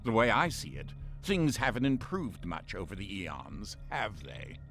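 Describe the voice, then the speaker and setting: Sardonic, swooping computer AI. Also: male voice, lowish voice, big pitch swings, a little slow, gravelly, fairly bright tone, soft background music, exaggerated voice. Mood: disdainful. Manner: pompous, theatrical, sarcastic. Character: condescending sardonic male shipboard artificial intelligence, pompous, contemptuous. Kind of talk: scripted game voice pack acting.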